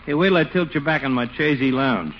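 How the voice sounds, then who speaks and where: Guy doing cocky slangy barber, highish lively voice, wisecracks, old radio comedy, kinda muffled. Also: brisk, close to an everyday voice. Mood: chummy, teasing. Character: cocky, slangy male small-town barber, chummy but stubborn. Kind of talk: 1940s-50s radio comedy acting. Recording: dull, faintly noisy old radio recording.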